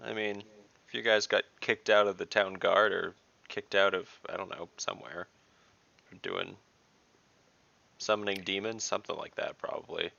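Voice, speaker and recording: A man's voice: low voice, fairly even intonation, moderate pace, creaky, long pauses, sharp tone. A man playing a tabletop role-playing game, chatting casually over a video call. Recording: dull online call audio.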